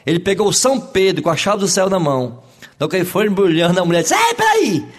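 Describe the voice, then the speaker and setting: High-voiced Brazilian male joke teller. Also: rapid-fire. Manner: animated storytelling, acts out dialogue. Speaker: comedian telling jokes. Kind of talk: stand-up style joke telling.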